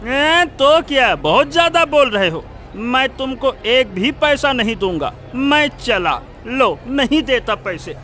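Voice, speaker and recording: A man's voice: very high voice, very animated, slow pace, sharp tone, soft background music, exaggerated voice. A man playing a scheming, spiteful village cheat in a cartoon, argumentative, blustering and insistent. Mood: belligerent. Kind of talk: Hindi cartoon moral story acting.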